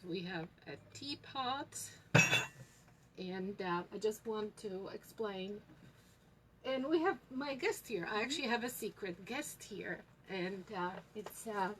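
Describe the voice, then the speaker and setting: A woman with a Polish accent leads a live lesson at a very leisurely pace, pausing often with small fillers as she explains things to viewers. A faint ambient hiss sits behind her voice. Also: mid-range voice, a bit bright, poor audio. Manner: unhurried, many fillers and pauses. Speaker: tea shop host teaching tea leaf reading.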